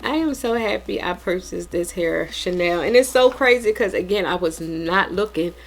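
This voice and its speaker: A woman's voice: on the low side, animated, moderate pace, vocal fry, soft background music. A woman in her forties with an American accent, chatting casually and informally to viewers as a vlogger and repeating points for emphasis. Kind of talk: casual unboxing chat to viewers.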